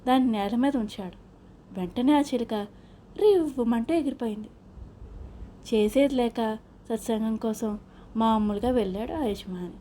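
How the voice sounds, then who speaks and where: A woman storyteller narrates a scripted moral tale for children. Her voice is fairly high and mellow, recorded with faint noise behind it. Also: normal speed, long pauses. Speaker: children's storyteller, channel host.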